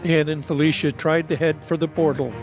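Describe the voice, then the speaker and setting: A man's voice: on the high side, level tone, a little fast, dull audio. A man narrating a scripted fantasy adventure in a dramatic storytelling style. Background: cinematic music.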